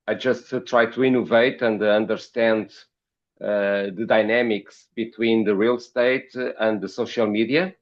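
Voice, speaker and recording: A man from Portugal with a Portuguese accent, giving interview answers as a podcast guest in a halting, hesitant way, often repeating words. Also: lowish voice, steady tone, lo-fi sound. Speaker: podcast guest, company founder.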